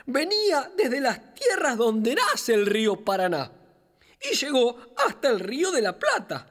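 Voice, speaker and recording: Friendly wise capybara acted by a woman, Argentine accent, high voice, unhurried, patient storyteller, children's radio play. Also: heavily acted. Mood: patient, explaining. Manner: patient, expressive explaining, storyteller-like. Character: friendly wise capybara guiding a little girl. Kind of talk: children's radio theatre acting.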